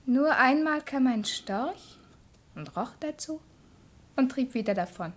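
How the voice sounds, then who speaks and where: Enigmatic child for literary radio play. High register, lyrical, cryptic, terse. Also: female voice, lively intonation, unhurried. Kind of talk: literary radio drama acting.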